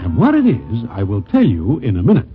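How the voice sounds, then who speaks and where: A man's voice: fairly low pitch, huge pitch swings, fairly quick, over loud music. An actor playing a genial, wry Broadway guy who tells gangster tales in formal slang, in 1940s radio style. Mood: wry storytelling. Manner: stiffly formal slang, avoids contractions. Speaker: narrator and lead character. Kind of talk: 1940s radio acting, slangy first-person storytelling. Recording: old 1940s radio recording, thin phone-like sound.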